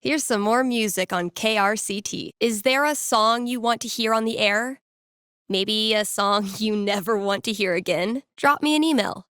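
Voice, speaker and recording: Woman playing a young female game-character DJ, American accent, wry, teasing, conversational, medium pitch, very dry recording. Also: normal speed, fairly bright tone, smooth, no room sound, close to an everyday voice. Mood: breezy. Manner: wry, sardonic, improvising storyteller. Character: wry young female small-town radio DJ, playful and teasing. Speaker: radio DJ. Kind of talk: naturalistic video game acting, conversational radio patter.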